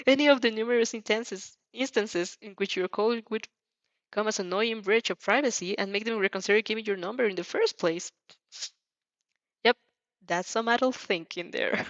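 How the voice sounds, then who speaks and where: A woman's voice: medium pitch, dry recording, muffled recording. A woman reading a fan fiction story aloud with casual asides, giggly and often stumbling over her words.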